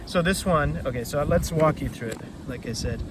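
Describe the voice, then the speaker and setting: Man, slightly high voice, casual storytelling host, rambling, sidetracked, noisy outdoor recording. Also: moderate pace. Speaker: read-aloud storytelling host. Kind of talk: casual chat summarizing a children's book. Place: outdoors beside a river.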